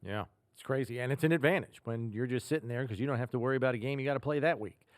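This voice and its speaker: A man's voice: mid-range voice, racing, no room sound. Male sports podcast host, close dry sound. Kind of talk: sports talk interview.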